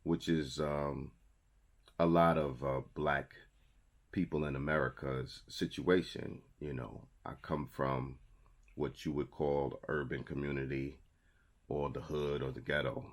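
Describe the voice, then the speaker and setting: A man's voice: extremely low pitch, flat delivery, measured pace. A man in his thirties with a New York accent, giving a motivational talk to camera in a conversational, emphatic way and repeating his key phrases. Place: small indoor studio with a logo wall.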